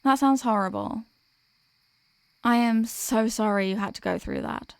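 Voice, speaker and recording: Woman as a gentle female demon, higher-pitched voice, dry close sound, tender, reassuring, ASMR comfort roleplay. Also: a little slow, no echo. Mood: soothing, reassuring. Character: gentle, protective sleep paralysis demon comforting a human.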